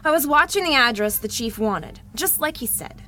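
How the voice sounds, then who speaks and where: A woman's voice: sits high, animated, moderate pace, sharp tone, soft background music. A woman voicing a cocky, stubborn young boy, slangy and defiant, in a 1930s-style radio drama. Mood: explaining, earnest.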